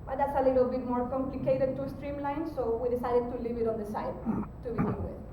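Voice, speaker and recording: A woman giving an explanatory technical presentation as a conference speaker, pausing hesitantly mid-sentence. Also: fairly even intonation. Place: conference room, recorded from a distance. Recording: very echoey, distant mic, rumbling background noise.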